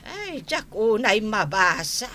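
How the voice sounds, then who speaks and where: An elderly, sympathetic mother in an Ilocano radio drama. She speaks very slowly, with lively rises and falls and a bright tone. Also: medium pitch, full character voice. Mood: dismayed, sympathetic. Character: slow, sympathetic elderly mother, an old woman.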